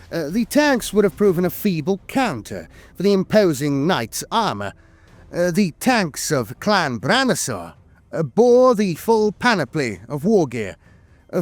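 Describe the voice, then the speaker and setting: Higher-voiced man narrating with lively pitch. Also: no room sound. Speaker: lore narrator. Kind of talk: scripted narration of fictional war lore.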